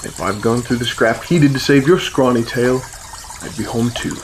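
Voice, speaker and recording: A man playing a stocky, gruff but kindly hermit in a fantasy radio drama, teasing and rambling as he talks. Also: mid-range voice, moderate pace, a little hiss or hum, close to an everyday voice. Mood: defensive. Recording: loud music behind the voice.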